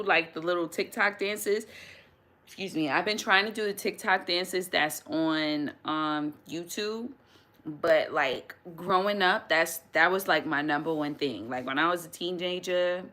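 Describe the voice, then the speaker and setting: A woman, hosting a casual live stream from home, chatty and quick to laugh, often restarting her sentences as she answers viewer comments. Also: deep voice, sharp tone. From New York City, USA. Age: 30s. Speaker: livestream host.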